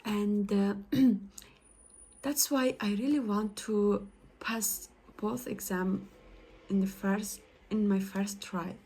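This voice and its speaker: Young female study vlogger, Persian accent, unhurried and halting, non-native English. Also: medium pitch, steady tone, a little mellow, slight background noise. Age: young adult. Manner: hesitant, halting, many fillers and self-corrections. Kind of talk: casual talk to camera.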